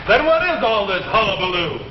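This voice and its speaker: A man's voice: high-pitched, sing-song, fairly quick, very noisy. An actor playing an irritable, blustering father in a 1960s TV comedy sketch. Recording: dull echoey 1960s TV stage recording.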